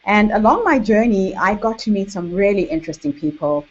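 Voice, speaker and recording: A woman with a Zimbabwean accent hosts an online workshop, speaking in a measured, deliberate way with emphatic repetition. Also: medium pitch, expressive. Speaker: workshop host and author coach. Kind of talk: online workshop presentation to camera. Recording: dull, lo-fi webinar audio.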